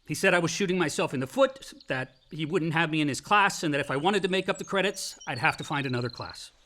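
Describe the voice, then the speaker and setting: An actor playing a volatile, wounded college-age son in a radio play, with a sardonic, defensive American delivery. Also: male voice, a little high, animated, fast talker, bright, forceful tone. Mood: sullen, defensive. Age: young adult. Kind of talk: naturalistic radio play acting.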